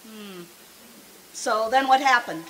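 A woman acting in a radio drama as a kindly, excitable former gold-rush saloon girl, with a folksy, gushing manner. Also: a little high, takes it slowly, slight background noise, low-quality recording. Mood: gentle, knowing.

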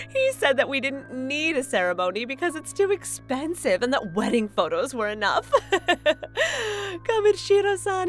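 A woman's voice: high-pitched, fairly bright tone. A woman playing a condescending young wedding planner in a manga dub, an ex-girlfriend who is mock-polite and taunting. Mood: snide politeness. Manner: mock-polite, taunting, drawn-out jabs with scornful laughs.

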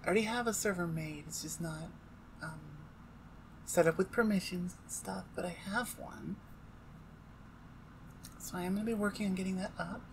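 A woman's voice: on the low side, normal speed, long pauses, slight background noise, low-quality recording. A woman chatting casually to her listeners as an audio creator, informal and rambling, with hesitant phrasing.

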